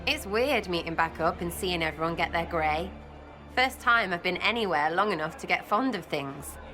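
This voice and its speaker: A British actress playing a cheeky, blunt young elf rogue in a fantasy game, talking in clipped, irreverent slang. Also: female voice, on the low side, animated, normal speed, bright, forceful tone, soft background music. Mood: wistful, blunt. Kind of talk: video game dialogue acting.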